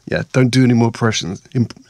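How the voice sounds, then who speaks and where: A man with a hoarse, croaky voice from a sore throat, narrating his vlog in a chatty, rambling, self-mocking way. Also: on the high side, fairly bright tone, no room sound. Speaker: vlogger and meditation maker.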